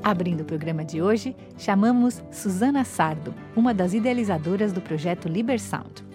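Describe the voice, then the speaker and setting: A woman's voice: mid-range voice, lively intonation, moderate pace. Formal woman narrator over music. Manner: smooth, formal reading. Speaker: program narrator. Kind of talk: scripted radio documentary narration.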